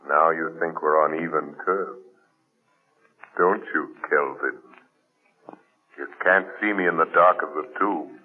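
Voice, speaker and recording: Sinister invisible avenger, very deep and very slow, taunting, vintage tinny radio serial recording. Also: male voice, breathy edge, full character voice. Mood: mocking menace. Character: invisible male crime fighter, mocking, sinister, menacing avenger. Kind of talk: 1940s radio acting.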